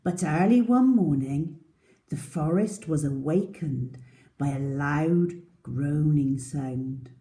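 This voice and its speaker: A woman reading a storybook aloud to young children as a teacher, in a deliberate, expressive manner. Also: deep voice.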